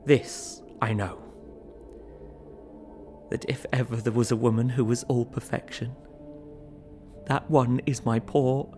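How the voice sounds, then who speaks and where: A man's voice: medium pitch, lightly acted. A man playing a tormented, devoted young Victorian husband, earnestly reading his journal in an audio drama. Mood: tender, anxious. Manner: earnest, brooding.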